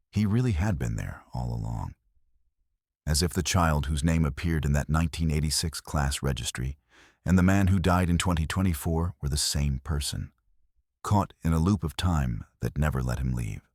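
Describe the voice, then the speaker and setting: A man tells scripted horror stories with an extremely low, rumbling voice. His delivery is measured and ominous, and the recording is dry, with no room sound. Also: no echo. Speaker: narrator.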